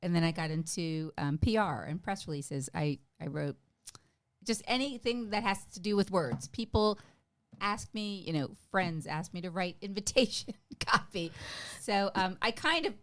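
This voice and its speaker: Middle-aged woman guest, low-ish voice, chatty and self-deprecating, dry close sound. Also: moderate pace, no room sound. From United States. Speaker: podcast guest. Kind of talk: casual interview chat.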